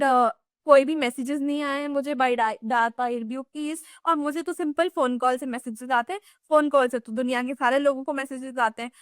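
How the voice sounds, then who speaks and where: Young indian lady teacher, high voice, dry close sound, chatty and full of "you know"s. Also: a bit bright, no room sound. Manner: chatty code-switching explainer with many "you know" fillers. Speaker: English teacher. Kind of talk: exam revision lesson.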